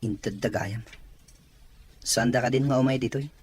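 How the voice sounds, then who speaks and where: A man's voice: mid-range voice, normal speed, a little mellow, breathy edge. A man with a Filipino accent playing a blunt but sympathetic rich young employer in an audio drama. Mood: resigned.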